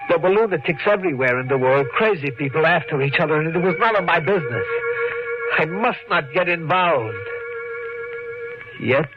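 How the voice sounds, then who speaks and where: An actor playing a meek, guilt-haunted shopkeeper in a radio mystery, brooding and halting as he speaks. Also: on the high side, expressive, close to an everyday voice. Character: anxious, guilt-haunted shopkeeper husband, meek simple man. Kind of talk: 1940s radio mystery acting, first-person narration and dialogue. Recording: muffled vintage radio recording with loud music.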